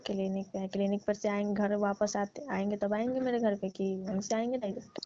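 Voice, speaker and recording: A woman's voice: medium pitch, little pitch movement, a little fast, mellow, no room sound. A young woman on a staged comic phone call, teasing and coaxing the man she calls uncle, playing a patient. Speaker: caller posing as patient. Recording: muffled phone call recording.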